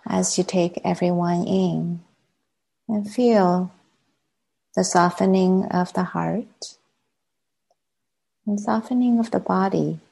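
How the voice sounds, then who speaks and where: A woman, a native Chinese speaker, leading a guided meditation as a teacher, gently and with long unhurried pauses. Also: lowish voice, fairly even intonation, creaky, husky, rough recording.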